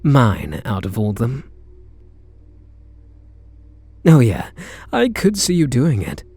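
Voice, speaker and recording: A British man playing a charming, teasing kidnapper who is softening toward his captive, in an intimate ASMR roleplay. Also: mid-range voice, huge pitch swings, a little slow, husky, dry recording, exaggerated voice. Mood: teasing, flirtatious. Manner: teasing, flirtatious, murmuring pet names.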